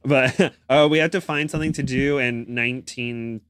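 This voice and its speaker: A man with a medium-pitched voice chats casually as a podcast co-host. He rambles in a jokey way, halting and restarting his thoughts. The recording is close and dry. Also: steady tone, fairly bright tone, smooth, no echo.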